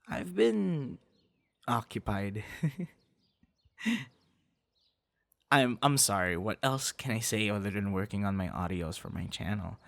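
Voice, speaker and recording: Bashful, low-voiced young boyfriend played by a man. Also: lively intonation, measured pace, gravelly, a bit bright, full character voice. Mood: sheepish, chatty. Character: affectionate, bashful young man on a picnic date. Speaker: ASMR voice actor. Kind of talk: ASMR boyfriend roleplay.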